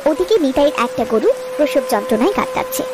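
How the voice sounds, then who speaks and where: A woman's voice: high voice, big pitch swings, quick pace, over loud music. A woman narrating a scripted village moral story for a cartoon.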